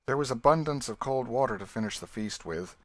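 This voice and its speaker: A man narrating a classic novel as an audiobook in a measured storytelling manner. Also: mid-range voice, expressive, fairly bright tone. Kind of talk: scripted literary narration.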